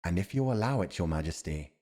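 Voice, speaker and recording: Male actor as steadfast fantasy war commander: very low voice, formal, measured, honourable. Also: animated, mellow. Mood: earnest, resolute. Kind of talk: fantasy audio roleplay acting.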